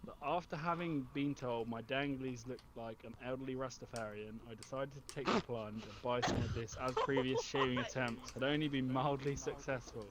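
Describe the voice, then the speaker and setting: A man's voice: medium pitch, steady tone, normal speed, hardly any pauses. A man reading a humorous written review aloud as a storyteller. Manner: often breaking into laughter. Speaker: host. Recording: very noisy mic with clicks.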